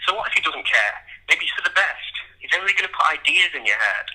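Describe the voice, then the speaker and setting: An actor playing a wry, rambling, hard-drinking bohemian poet in naturalistic radio drama, candid and self-mocking. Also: male voice, a little high, animated, quick pace, sharp tone, husky, exaggerated voice, low-quality recording. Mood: concerned. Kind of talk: naturalistic radio-play dialogue.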